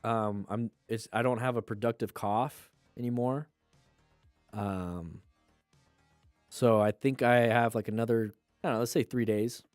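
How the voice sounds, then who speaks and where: A man's voice: fairly low pitch, steady tone, unhurried, darkish tone, no room sound, faint music behind. Congested man chatting on a livestream. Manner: chatty, loose asides, plugs a sale. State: congested, nasal. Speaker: livestream host reviewing motorcycle clips. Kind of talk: casual livestream commentary.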